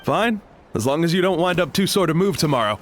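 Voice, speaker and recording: A brisk, sensible young guy. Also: a little high, animated. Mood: dry. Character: practical, sensible young man, mildly scolding. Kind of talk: game cutscene voice acting.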